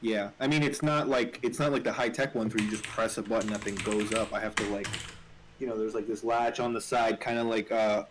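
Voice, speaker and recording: A man in casual conversation, halting and repeating words as he explains things. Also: lowish voice, fairly even intonation. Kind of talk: casual chat. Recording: clearly noisy, keyboard clicks. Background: typing and clicks.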